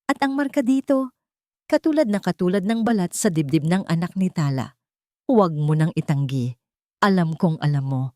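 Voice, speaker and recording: Babaeng gumaganap bilang galit at nasasaktang anak na lalaki. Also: female voice, medium pitch, warm tone, no room sound, studio quality, natural voice. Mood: firm, accusing. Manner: accusing, emphatic, pleading for truth. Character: angry anguished grown son confronting his mother. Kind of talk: Tagalog radio drama acting.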